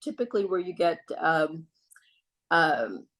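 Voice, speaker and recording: A middle-aged woman talks through wellness tips in a relaxed, chatty way, pausing on ums. Her voice sits a little low. She is heard over a video call. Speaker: wellness speaker. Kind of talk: casual advice talk on a video call.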